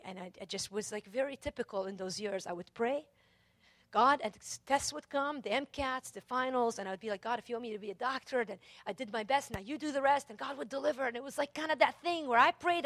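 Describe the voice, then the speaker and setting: High-voiced woman preaching, close dry sound. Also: no room sound. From Lebanon. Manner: emphatic, repeats phrases for effect, swings from hushed to forceful. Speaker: preacher, Bible teacher. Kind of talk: sermon with scripture reading and personal testimony.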